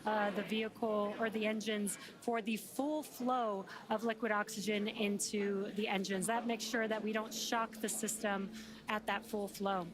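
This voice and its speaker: A woman's voice: medium pitch, fairly even intonation, measured pace, crowd noise. A woman co-commentating on a live broadcast, explaining technical steps in a conversational way. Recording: music playing behind voice. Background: music.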